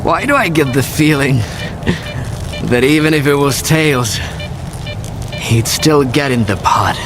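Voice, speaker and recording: An actor playing a weary, fatalistic male guide in a video game cutscene, speaking in a deliberate, reflective way. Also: a little high, lively intonation, over music. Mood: resigned, fond.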